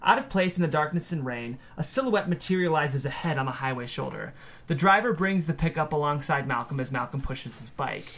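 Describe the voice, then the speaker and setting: A man's voice: on the high side, lively intonation, brisk, a bit bright. A man reading scripted stage directions as the narrator of a screenplay table read.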